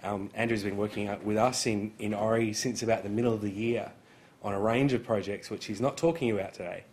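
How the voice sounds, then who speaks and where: Low-voiced man introducing a speaker. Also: a little fast. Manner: relaxed, reads with small hesitations. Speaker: seminar host.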